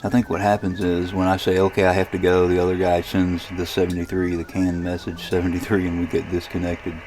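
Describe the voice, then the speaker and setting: A man casually narrating a software demonstration as a radio hobbyist, thinking aloud in a hesitant, rambling way with a gravelly voice. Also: low-pitched, completely flat delivery, fairly quick, slight background noise, poor audio.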